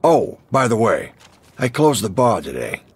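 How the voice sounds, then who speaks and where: An actor playing an older male mob boss who speaks in a calm, authoritative, measured way. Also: on the low side, slightly rough, full character voice. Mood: commanding. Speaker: crime boss.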